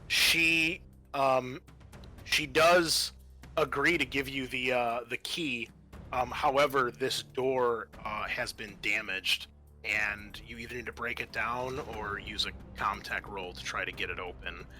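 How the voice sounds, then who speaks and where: A man's voice: mid-range voice, measured pace, bright, forceful tone. A man narrating a tabletop roleplaying game as game master, casually and hesitantly, improvising as he goes. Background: background music.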